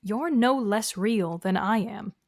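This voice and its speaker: A woman playing a composed, gently corrective therapist in an audio drama, speaking in a measured, patient, questioning way. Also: mid-range voice, no room sound, clean studio sound. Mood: measured, serious. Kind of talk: naturalistic audio drama dialogue.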